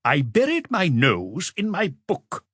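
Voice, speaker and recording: A man's voice: fairly high pitch, huge pitch swings, takes it slowly, a bit bright, breathy edge, dry recording, clean studio sound, pitched above the performer's own voice, full character voice. A man voicing an observant, self-assured witness in an audiobook, answering in a precise, matter-of-fact way. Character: observant male witness, precise and sure of himself.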